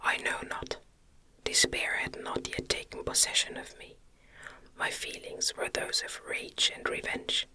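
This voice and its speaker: A woman reads a gothic novel aloud in a breathy, unvoiced ASMR whisper, with gentle, deliberate phrasing. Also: rough recording. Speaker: audiobook reader.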